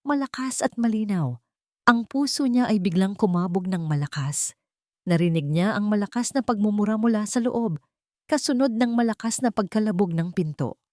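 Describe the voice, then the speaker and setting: A woman narrating a scripted audio drama in a measured, dramatic storytelling manner. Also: medium pitch, a little mellow, no room sound, clean studio sound.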